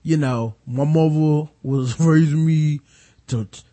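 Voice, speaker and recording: A man's voice: fairly high pitch, slow, warm tone. An American man hosting a casual, profane film discussion, animatedly retelling scenes and acting out mock dialogue. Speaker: movie review podcast host.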